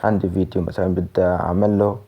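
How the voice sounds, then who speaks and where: A man's voice: sits low, flat delivery, very fast, muffled recording. A man with a Levantine Arabic accent presenting a casual app tutorial, explaining informally step by step. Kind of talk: casual spoken app tutorial for screen reader users.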